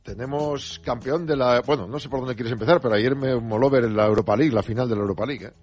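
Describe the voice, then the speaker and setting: A man's voice: mid-range voice, fast, a little mellow, soft background music. A man hosting a radio sports segment, giving heated, emphatic opinions. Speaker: radio host.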